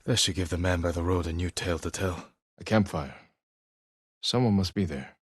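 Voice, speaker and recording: A stoic samurai warrior, speaks in a low voice with a husky edge. The delivery is measured, solemn and restrained, with a determined, honorable bearing. The recording is close and dry. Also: male voice, no room sound. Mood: quiet, brooding. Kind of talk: dramatic video game voice acting.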